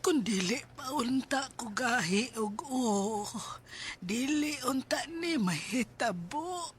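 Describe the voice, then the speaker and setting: Slow, weak, tearful Cebuano mother. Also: a little high, animated, a little hiss or hum. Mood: weak, apologetic. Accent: Filipino, Cebuano. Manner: halting, tearful. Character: weak, remorseful sick mother. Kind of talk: radio drama acting.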